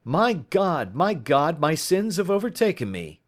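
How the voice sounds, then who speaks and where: A man voicing a fierce, hard-drinking, reclusive old colonel in an audiobook, exclamatory and quick-tempered. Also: a little high, very animated, voice pushed up. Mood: terrified. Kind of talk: audiobook character voice.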